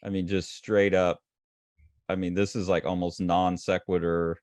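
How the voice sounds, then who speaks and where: A man with a very low voice chats about art in a loose, excited way, full of fillers and quick restarts. His voice sits close and dry, with no room around it. He sounds like a co-host trading thoughts with a friend. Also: no room sound. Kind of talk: casual art discussion.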